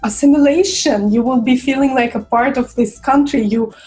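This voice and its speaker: A young woman from Russia with a Russian accent, chatting casually to camera and pausing for words. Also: high voice, darkish tone, faint music behind. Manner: chatty, searching for words with fillers. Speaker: vlogger.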